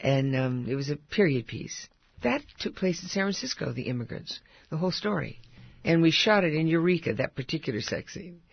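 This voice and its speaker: An older actress with a husky voice, chatting and telling stories in an interview with frequent laughs. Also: female voice, sits low, sing-song, a little fast, dry recording, dull audio. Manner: chatty, laughs often, warm storytelling. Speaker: actress guest. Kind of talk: casual interview chat.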